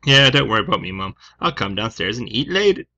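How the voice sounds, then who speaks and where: A man's voice: medium pitch, sing-song, fast, pushed and bright, muffled recording, exaggerated voice. A man voicing an upset, defiant college-age daughter in game dialogue, hesitant and protesting. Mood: evasive. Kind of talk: reading game dialogue aloud.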